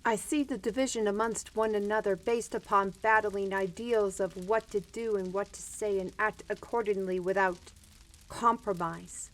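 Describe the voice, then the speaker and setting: A woman's voice: medium pitch, steady tone, moderate pace, close to an everyday voice, low-quality recording. A woman playing a bold, irreverent young human in a fantasy audio roleplay, speaking in formal storybook phrases with a cheeky edge. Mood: earnest, reflective.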